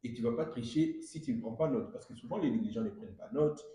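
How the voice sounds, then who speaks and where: A young man hosts a conversational podcast in a mellow, soft-edged voice. The room rings heavily around him. His pitch sits in the middle range. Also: quick pace. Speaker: podcast host. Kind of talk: casual conversational interview. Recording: very echoey room.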